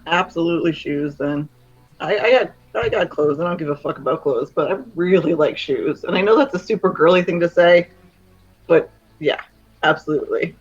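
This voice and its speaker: A woman, a mother, chatting casually in a group stream, blunt and quick to swear. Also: low-pitched, slightly rough, highs cut off. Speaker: parent.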